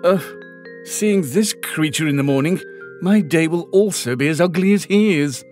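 A man voicing a rude, scornful grown duck in an animated fairy tale. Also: fairly high pitch, moderate pace, faint music behind, close to an everyday voice.

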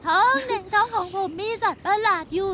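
A woman voicing a timid, banana-loving little creature child in a children's cartoon dub. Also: a little fast. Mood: scared. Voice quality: squeaky and childlike. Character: small timid creature kid who loves bananas. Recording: loud music under the voice.

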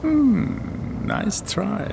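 An actor playing a pompous, threatening prison warden in a video game, domineering in manner. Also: male voice, high voice, huge pitch swings, drawn-out words, gravelly, noisy background, heavily acted. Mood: smug threat. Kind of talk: cinematic video game acting.

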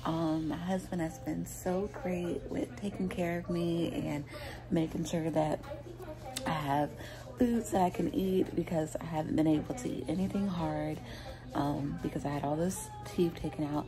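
Young woman chatting softly into her phone on the couch, low voice, lots of ums, music in the background. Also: fairly even intonation, moderate pace. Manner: soft, low-key, rambling with fillers. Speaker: vlogger, stay-at-home mom. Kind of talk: quiet casual chat to camera. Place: indoors on a couch at home. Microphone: phone at arm's length.

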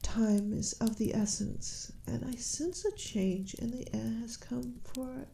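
A woman performs a shrewd, motherly elf queen for a fantasy roleplay. Her voice sits fairly high with a soft, mellow tone. She speaks regally and very slowly, with roomy echo around her. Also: gravelly, a little hiss or hum. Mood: commanding. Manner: regal, measured, softly spoken. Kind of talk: fantasy audio roleplay, ASMR.

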